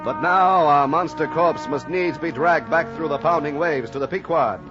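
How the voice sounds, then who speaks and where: An actor playing a solemn whaling sailor who narrates a sea tale as dramatic old-time radio. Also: male voice, fairly high pitch, normal speed, natural voice. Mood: grave, dramatic. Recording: dull old radio recording, music behind.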